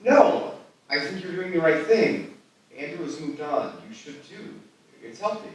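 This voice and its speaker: A man's voice: fairly high pitch, lively intonation, a little slow. An actor playing an awkward, scheming young man smitten with his neighbor, in a live screenplay table read. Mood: sympathetic, persuasive. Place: live festival reading in an echoey room. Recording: echoey hall, distant mic, faint noise.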